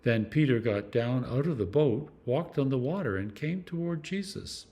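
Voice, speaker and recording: Man reading scripture with lively intonation. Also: mid-range voice, normal speed. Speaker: clergyman reading scripture for a church meditation series.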